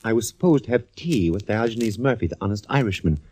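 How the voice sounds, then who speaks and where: A flamboyant dandy photographer. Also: male voice, on the low side, animated, quick pace, darkish tone, husky. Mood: flowery. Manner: florid, pet names, mock-genteel. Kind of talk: 1940s radio acting. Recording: old radio broadcast recording.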